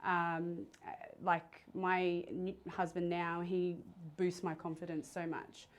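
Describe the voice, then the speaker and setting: A woman's voice: lowish voice, level tone, slow. An Australian woman from Sydney talking candidly and casually as an interview guest. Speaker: podcast guest, entrepreneur.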